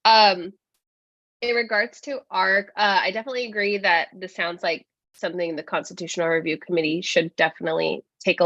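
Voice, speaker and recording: A congested woman getting over a cold, explaining procedure at a student government meeting in a measured way with many fillers. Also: lowish voice, fairly bright tone, no echo, highs cut off.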